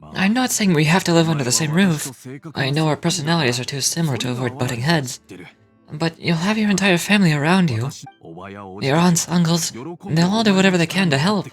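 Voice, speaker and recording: Middle-aged game father, played by a woman: low, earnest, stern yet caring. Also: animated, fairly quick, faint music behind. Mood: earnest, concerned.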